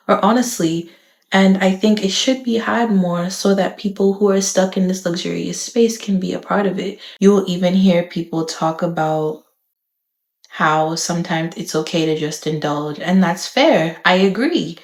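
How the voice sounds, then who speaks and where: Low, gravelly-voiced reflective woman. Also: echoey room. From the Bahamas. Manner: thoughtful, candid, measured. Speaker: vlogger. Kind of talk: reflective talk to camera.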